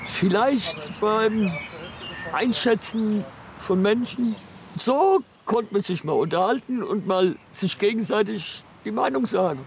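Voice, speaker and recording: A man's voice: high voice, expressive, takes it slowly, gravelly, a little hiss or hum. A man chatting casually and plainly at an outdoor garden meal. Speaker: interviewee. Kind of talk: relaxed chat at a barbecue.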